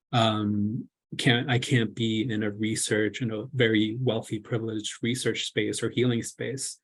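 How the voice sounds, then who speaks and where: A man from Colombia speaks on a panel in a low, husky voice. He talks hesitantly, filling gaps with ums and restarting his sentences. The sound is a video call. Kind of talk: reflective panel talk.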